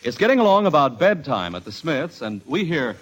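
A man's voice: fairly high pitch, very animated, brisk, rough recording. A man announcing a scripted commercial in the style of 1940s radio advertising.

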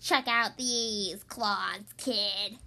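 A woman acts out a haughty female storybook monster. The voice is raspy and pulled lower, drawing words out slowly with snappish indignation. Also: steady tone, sharp tone, full character voice. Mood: menacing. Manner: slow, drawling, indignant. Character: haughty, snappish girl monster with scary claws.

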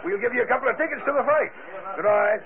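Old radio newsroom boss, high scratchy voice, barks short lines, tinny w chatter behind. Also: male voice, fast talker. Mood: brisk, busy. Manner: clipped, loud, hard-boiled newsroom talk. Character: brisk, barking newspaper city desk man. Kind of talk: 1940s radio drama acting.

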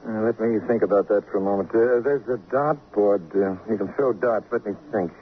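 An actor playing a glib, eccentric, well-paid lawyer in an old radio crime drama, fussy, whimsical and smugly evasive. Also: male voice, fairly low pitch, brisk, natural voice. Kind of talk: mid-century radio crime drama acting. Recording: tinny, hissy old radio recording.

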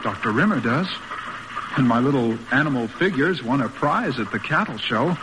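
An actor playing an earnest, respectful young American son in a polite, hesitant, boyish radio drama manner. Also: male voice, medium pitch, expressive, moderate pace, close to an everyday voice. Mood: eager, hopeful. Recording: noisy old radio recording.

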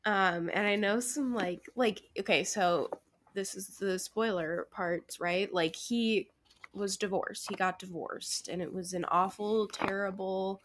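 A woman's voice: mid-range voice, fairly even intonation, unhurried, a bit bright, slight background noise. A woman co-hosting a book club podcast, giving her opinions in a casual, conversational way.

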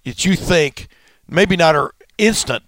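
A man's voice: fairly high pitch, animated, measured pace, a bit bright, no room sound. A man in his sixties from Texas, co-hosting casual sports talk in a folksy, easygoing, self-mocking way. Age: 60s. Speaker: sports talk show host.

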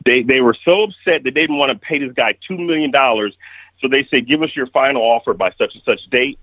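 A man's voice: medium pitch, brisk, fairly bright tone, dry recording, poor audio. A man giving blunt, opinionated takes as the guest on a casual sports chat, often restarting his sentences. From Kalamazoo, Michigan.

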